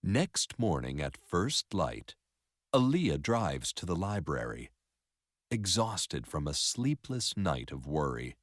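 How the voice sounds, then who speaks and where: A man narrating a story in an expressive educational read-aloud style. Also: low voice, slow, no echo, clean studio sound.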